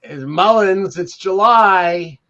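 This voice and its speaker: A man's voice: sits high, slow pace, fairly bright tone. An older man chatting loosely and ramblingly as a podcast co-host over a video call. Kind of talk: casual chat. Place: room at home, video call. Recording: dull lo-fi video-call audio.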